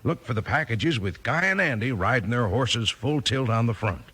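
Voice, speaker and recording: A man's voice: medium pitch, animated, moderate pace, pushed and bright, slightly rough. A man with an American accent delivering a 1950s radio commercial in enthusiastic, folksy cowboy patter.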